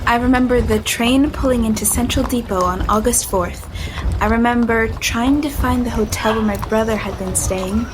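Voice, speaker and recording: A woman's voice: on the high side, moderate pace, noisy background, lightly acted. An actress playing an earnest, anxious young woman in a period audio drama, hesitant and wistful with proper, old-fashioned phrasing. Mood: shaken, haunted. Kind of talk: period audio drama acting with first-person narration.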